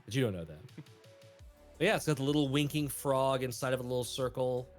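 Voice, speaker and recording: A man narrates a tabletop game as its game master in a loose, chatty way full of fillers. His voice sits at an ordinary middle pitch. Faint music plays behind him. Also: brisk.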